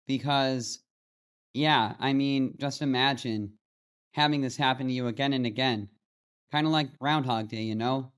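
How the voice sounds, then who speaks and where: A man's voice: mid-range voice, unhurried, fairly bright tone, clear, resonant tone. An American man hosting a commentary channel, talking casually and directly to his viewers. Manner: informal, addressing viewers directly.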